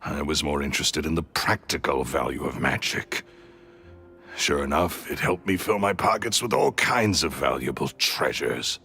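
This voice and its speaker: A man's voice: rumbling low, moderate pace, creaky, pushed and bright, heavily acted. An actor playing a resentful male magician's apprentice turned thief, confessing in a brooding, bitter way in a video game. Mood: defensive. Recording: faint music behind.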